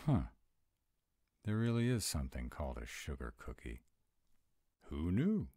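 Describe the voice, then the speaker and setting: Grumpy tsundere were-dragon played by a man, roleplay audio. Bass-deep with lively swings, fussy about rules, dry close sound. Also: breathy edge, no room sound, heavily acted. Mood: fussy, cooperative. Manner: fussy, exasperated, drawn-out emphatic phrasing. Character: grumpy, rule-bound male were-dragon, exasperated tsundere boyfriend.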